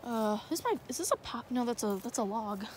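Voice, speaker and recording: A woman's voice: fairly high pitch, big pitch swings, fairly quick. A young woman vlogging on a night walk through a marshy wood, talking hesitantly with many fillers into a handheld phone. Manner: rambling, hesitant, lots of fillers. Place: outdoors in a dark wooded marsh at night. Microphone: phone held in hand. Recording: noisy outdoor phone recording.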